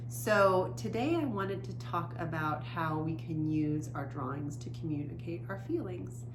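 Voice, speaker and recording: Woman, fairly low voice, expressive children's storytelling, echoey room, faint background noise. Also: a little slow. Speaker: storytime host reading a picture book.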